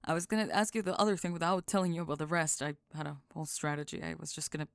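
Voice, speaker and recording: A woman acting a guarded young goth in an intimate audio roleplay, speaking in a halting, dry, understated way with tenderness underneath. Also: low-pitched, little pitch movement, smooth, no echo. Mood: nervous, earnest.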